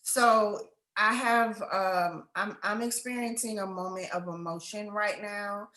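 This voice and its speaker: A woman hosting a conversation, a writing coach, speaking in a heartfelt, halting way. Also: mid-range voice, pushed and bright. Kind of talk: casual conversation. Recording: echoey room.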